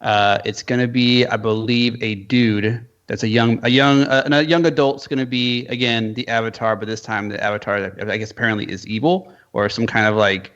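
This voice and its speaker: A man's voice: mid-range voice, steady tone, normal speed, few pauses, fairly bright tone, dull audio. A man co-hosting a casual podcast, rambling and hedging with lots of fillers.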